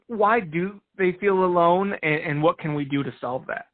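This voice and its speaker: A man's voice: high voice, normal speed. A man hosting an interview series, reading a short intro and asking brief, direct questions. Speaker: interview host. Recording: dry tinny phone-like line.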